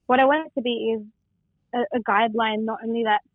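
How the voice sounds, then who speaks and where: A woman's voice: on the high side, fairly even intonation, fast talker, no room sound. A young woman from Western Sydney, a poet speaking as a guest over the phone, enthusiastic and reflective. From Western Sydney, Australia. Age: young adult. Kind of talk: phone interview. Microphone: phone line. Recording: tinny dry phone line.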